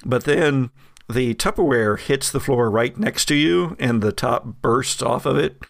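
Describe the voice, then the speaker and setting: A man running a tabletop game as game master, narrating with frequent laughter and halting restarts. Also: mid-range voice, vocal fry, dry recording.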